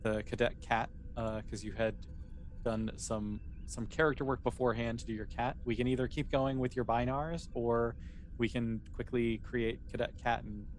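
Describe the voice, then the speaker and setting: Guy game mastering, easygoing and encouraging, mid pitch, bit of noise behind him. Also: fairly even intonation, normal speed. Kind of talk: casual tabletop game narration and chat.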